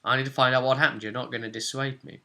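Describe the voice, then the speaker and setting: A man's voice: mid-range voice, flat delivery, very fast, vocal fry, sharp tone. A man voicing a stubborn young noblewoman training as a holy knight in a tabletop game, insistent and unyielding. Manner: insistent, digs heels in.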